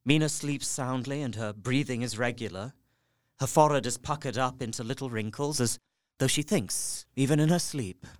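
A man's voice: medium pitch, moderate pace, no room sound, clean studio sound, close to an everyday voice. An actor playing an earnest young solicitor and devoted husband, narrating his journal in an audio drama. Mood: tender, anxious.

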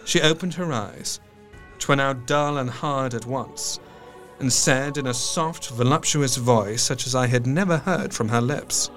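An actor playing a sorrowful, earnest doctor who narrates a gothic tale in measured, literary phrases. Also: male voice, mid-range voice, a bit bright, soft background music, lightly acted. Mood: tense, grave. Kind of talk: dramatic scripted narration.

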